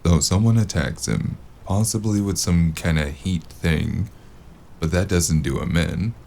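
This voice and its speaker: An actor playing a weary, sardonic noir investigator in an audio drama, gravelly and dryly deadpan. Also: male voice, low-pitched, measured pace. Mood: dry, focused. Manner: dry, understated deadpan.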